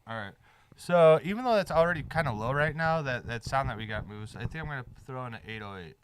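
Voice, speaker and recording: A man's voice: mid-range voice, animated, quick pace, background noise. A man making beats in a studio, chatting casually and explaining music theory step by step. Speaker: music producer. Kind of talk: casual studio chat while making a beat. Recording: loud music playing behind.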